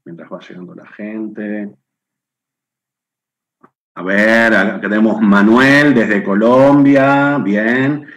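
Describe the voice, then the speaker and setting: A man's voice: on the low side, fairly even intonation, slow pace, long pauses, fairly bright tone. A middle-aged man from Argentina with an Argentine accent, hosting a live webinar from a room at home over a webcam and chatting as he greets viewers by name. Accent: Argentine Spanish. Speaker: webinar host. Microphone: webcam on video call.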